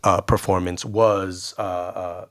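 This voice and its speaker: A man's voice: low voice, little pitch movement, slow, a bit bright. A man co-hosting a casual film podcast, joking and hesitating with fillers. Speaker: podcast co-host.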